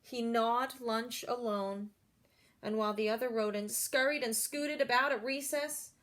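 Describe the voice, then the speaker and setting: A woman reading a children's story aloud in an expressive way, pausing to address young listeners directly. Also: a little high. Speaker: storybook reader.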